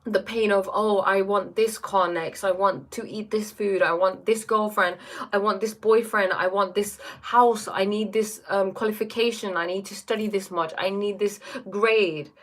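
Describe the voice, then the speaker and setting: Brief: young woman, mid-range voice, live Q&A host, halting and filler-heavy. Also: medium pitch, fairly even intonation. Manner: hesitant, lots of fillers and "like", reads comments aloud. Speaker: livestream host answering viewer questions. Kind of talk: casual livestream Q&A on spirituality.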